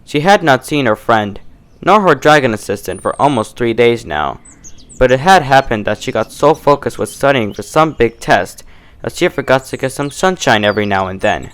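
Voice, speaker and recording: Animated male story narrator, expressive pitch. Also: normal speed, clean tone. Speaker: fan fiction narrator. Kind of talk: scripted narration, dramatic story reading.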